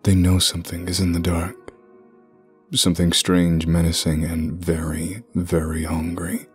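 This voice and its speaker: A man voicing a menacing, hungry predator lurking in darkness, husky and deliberate, in a dramatic monologue. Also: sits low, completely flat delivery, faint music behind, heavily acted.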